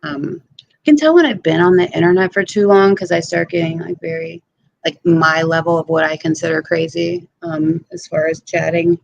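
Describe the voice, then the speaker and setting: Laid-back, rambling panelist with a heavily gravelly voice, muffled mic. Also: mid-range voice, animated, moderate pace. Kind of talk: casual panel chat. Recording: dull lo-fi mic, faint noise.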